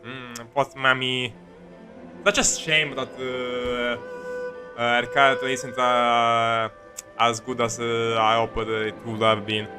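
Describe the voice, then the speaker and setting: A man's voice: medium pitch, level tone, slow pace. A man doing casual, rambling, jokey live commentary as he plays a game. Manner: rambling, jokey, repeats himself. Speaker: game streamer. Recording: streamer voice over game music. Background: game music.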